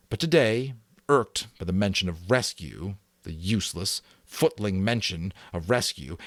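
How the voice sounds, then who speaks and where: A man giving a dramatic audiobook reading, narrating in an expressive storytelling manner. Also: deep voice, no room sound, studio quality.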